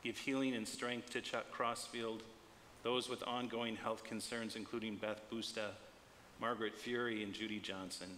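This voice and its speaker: A middle-aged man, a pastor, reading prayers in a measured, solemn and deliberate way. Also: fairly low pitch, level tone, bright, forceful tone. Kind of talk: read liturgy and intercessory prayer. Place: church sanctuary, standing at the altar. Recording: long church reverb, clear background noise.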